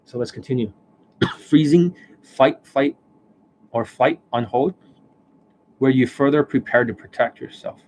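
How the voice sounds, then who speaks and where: A man hosting a live stream as a dating coach. He rants bluntly and swears often, and his voice is worn and hoarse from overuse, with coughs and throat clearing. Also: mid-range voice, unhurried, pauses often, darkish tone, a little hiss or hum, poor audio. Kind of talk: livestream monologue. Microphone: desk microphone.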